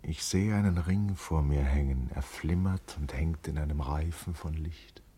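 Radio play role: brooding poetic man, very deep and husky. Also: unhurried, mellow, exaggerated voice. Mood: wondering. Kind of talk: lyrical literary radio play monologue.

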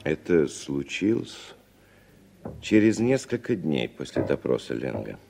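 An actor playing a principled, hot-headed young American writer in an earnest, emphatic Soviet radio drama style. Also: male voice, a bass voice, sing-song, unhurried, creaky, music in the background, exaggerated voice. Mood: sombre narrating. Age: young adult. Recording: reverberant old radio recording with noise.

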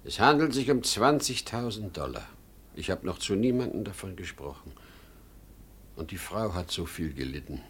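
A man's voice: deep voice, big pitch swings, moderate pace, slight background noise. An actor playing a desperate, worn-out salesman in his sixties, urgent and pleading, in 1950s German radio drama style. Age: older. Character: desperate worn-out 63-year-old salesman plotting his death.

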